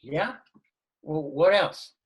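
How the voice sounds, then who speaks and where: An actor reading a bewildered, easygoing suburban dad, puzzled and hesitant. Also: male voice, fairly high pitch, extremely slow, breathy edge, exaggerated voice.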